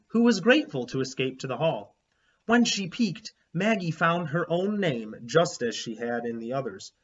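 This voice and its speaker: A man's voice: mid-range voice, a bit bright, breathy edge. A man reading a children's book aloud like a teacher, expressively and with explanatory asides. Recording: slightly dull, lo-fi sound.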